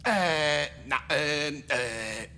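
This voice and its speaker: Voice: medium pitch, animated, extremely slow, sharp tone, faint music behind, exaggerated voice. An actor voicing a dim, servile male henchman who nannies his villain boss in a French cartoon dub. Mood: hesitant explaining.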